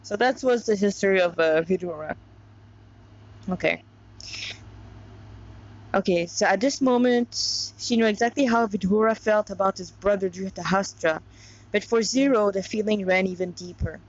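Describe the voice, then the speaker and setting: A woman's voice: medium pitch, normal speed, pauses often. A woman reading a story aloud informally and expressively, with casual asides, stumbling now and then over the text. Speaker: narrator reading own story. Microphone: clip-on lapel mic. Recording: dull, lo-fi sound.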